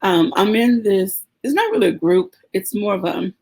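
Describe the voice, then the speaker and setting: Woman, podcast host, sincere, thoughtful, husky-breathy tone. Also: mid-range voice, very animated, moderate pace, a little mellow. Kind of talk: casual podcast conversation.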